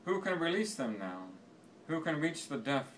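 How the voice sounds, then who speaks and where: A man reciting poetry in a measured, declamatory way with deliberate phrasing. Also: on the high side, big pitch swings, fairly bright tone. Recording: distant mic with faint background noise.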